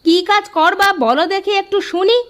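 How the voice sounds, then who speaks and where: A woman's voice: extremely high pitch, moderate pace, fairly bright tone. A woman playing a dismissive, no-nonsense village wife in a comedy cartoon, with a scolding manner. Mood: questioning. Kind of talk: Bengali comedy cartoon dubbing.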